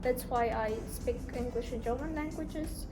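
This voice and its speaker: A young woman, a native Russian speaker, answers interview questions in English in a high, light voice. She speaks thoughtfully, pausing often and filling gaps with small hesitations. Music runs clearly underneath. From Kabardino-Balkar Republic, Russia. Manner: thoughtful, careful, with many pauses and fillers. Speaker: interview guest.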